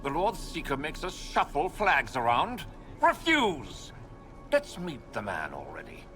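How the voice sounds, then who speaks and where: Male sneering fantasy-game lord: pompous, impatient, highish and very animated, over clear music. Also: a little slow, full character voice. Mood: indignant. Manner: pompous, condescending. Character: haughty, impatient nobleman, sneering at underlings. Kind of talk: theatrical video game cutscene acting.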